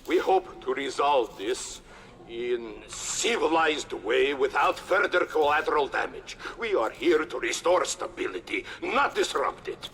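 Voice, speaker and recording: A man playing an evasive, diplomatic Soviet official in a video game, giving measured press conference statements. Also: a little high, animated, a bit bright. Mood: formal, controlled. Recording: reverberant press hall.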